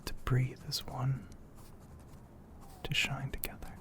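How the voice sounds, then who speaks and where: Guy whispering an asmr story up close, really slow, breathy and hushed. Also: medium pitch, monotone. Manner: slow, hushed, poetic delivery with frequent pauses. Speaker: ASMR audio narrator.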